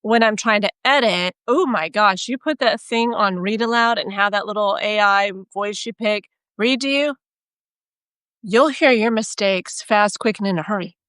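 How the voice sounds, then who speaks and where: A woman with a Texas accent chats in a lively, self-deprecating way, poking fun at herself as she talks. Her voice sits mid-range and sounds close and dry, with no room around it. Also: mid-range voice, moderate pace, clear, resonant tone, dry recording. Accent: Texan. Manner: chatty, self-mocking, animated storytelling. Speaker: podcast co-host. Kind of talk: casual chat.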